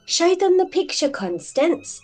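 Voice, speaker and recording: A woman reading a children's storybook, voicing a kind young mermaid with a gentle, encouraging manner. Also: very high voice, sing-song, a little slow, soft background music, voice pushed up, heavily acted. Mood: polite, determined. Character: kind, reassuring young female mermaid helper. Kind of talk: children's storybook read-aloud.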